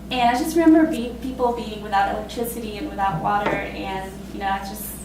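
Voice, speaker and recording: A woman in her twenties from Costa Rica, telling her own story in a casual, halting way with many fillers and laughs. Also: medium pitch, fairly even intonation, heavy reverb, far from the mic, noisy background. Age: 20s. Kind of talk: personal spoken testimony.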